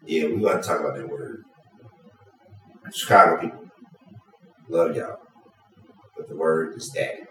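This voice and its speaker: A man's voice: very deep voice, measured pace, pauses often, a little hiss or hum. A man with a Georgia accent hosting a podcast, teasing his guest in argumentative casual banter. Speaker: podcast host. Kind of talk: casual chat. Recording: echoey room, distant from mic.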